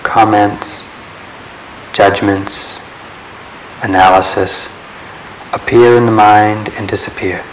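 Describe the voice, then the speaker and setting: A man leading a guided meditation in a calm, measured way, leaving long pauses between short phrases. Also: lowish voice, poor audio. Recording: steady background hiss.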